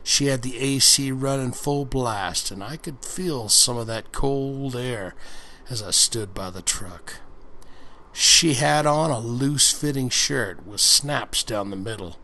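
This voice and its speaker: A man with a mid-pitched voice reads a story aloud at a very unhurried pace. It is done as relaxed ASMR book reading, and he slips in crude, jokey asides as he goes. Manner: breaks off to comment crudely on the story.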